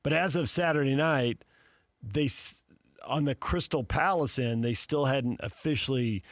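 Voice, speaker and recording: A man's voice: mid-range voice, measured pace, dry recording, studio quality. A man with an American accent analysing sports conversationally as a radio host, explaining at length with emphasis. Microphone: close studio microphone.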